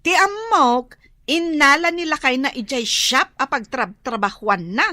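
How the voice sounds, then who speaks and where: A touchy, gossipy village woman in an Ilocano radio comedy. Her Filipino accent carries slow, emotional grievances in a highish, bright voice. The sound is close and dry. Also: lively intonation, no room sound, heavily acted. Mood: indignant.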